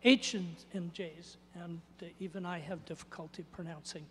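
A man's voice: high voice, steady tone, moderate pace, a bit bright. An older man, born in Norway, giving an earnest church testimony into a handheld mic. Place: church sanctuary. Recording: church hall reverb.

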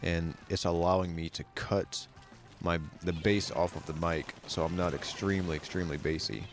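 A young man with a very deep voice casually commenting on his game, laid-back and swearing a lot. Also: fairly even intonation, moderate pace, over music. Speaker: game streamer. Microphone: studio mic with bass roll-off.

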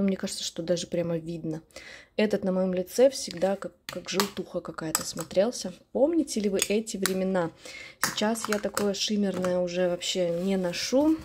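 Casually chatty woman, medium pitch. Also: mellow, slightly rough. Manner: chatty, nostalgic, self-correcting. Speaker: beauty vlogger. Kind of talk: casual rambling chat. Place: indoors at home, at a dressing table.

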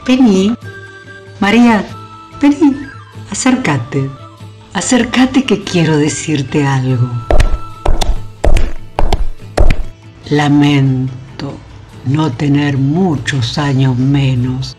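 An aged medieval queen mother for a radio serial. She speaks slowly and grandly in an Argentine accent, imperious yet nostalgic and bawdy, over faint music. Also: deep voice. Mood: wistful, mischievous. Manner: regal, slow, theatrical. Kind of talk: radio drama acting.

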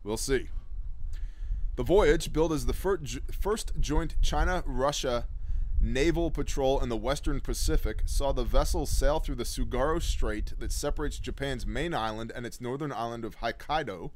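A man's voice: mid-range voice, normal speed, a little hiss or hum. A middle-aged man hosting a preparedness show, reading news articles aloud and breaking in with blunt, sarcastic remarks, recorded on a desk webcam. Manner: sardonic, blunt asides between read passages.

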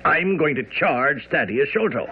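Over a thin, phone-like radio recording, a stubborn, self-satisfied male police inspector. His husky voice blusters through a radio mystery. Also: on the high side, expressive, unhurried, heavily acted. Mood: triumphant. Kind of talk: old-time radio drama acting. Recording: muffled, narrow-band radio broadcast.

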